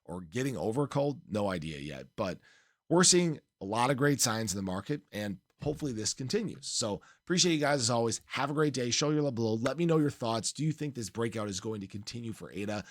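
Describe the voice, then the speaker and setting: A man hosting a crypto channel, giving upbeat, emphatic market commentary with a slightly hoarse voice. He keeps circling back with "again" and "right?". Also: mid-range voice, lively intonation, fast talker, no room sound, clean studio sound. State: slightly hoarse, losing his voice. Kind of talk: casual market commentary to camera.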